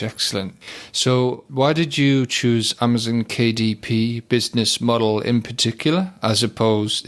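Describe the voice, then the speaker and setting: Casting note: male podcast host, gravelly, upbeat and businesslike. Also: on the low side, a bit bright. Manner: upbeat, reads listing details. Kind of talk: scripted podcast interview.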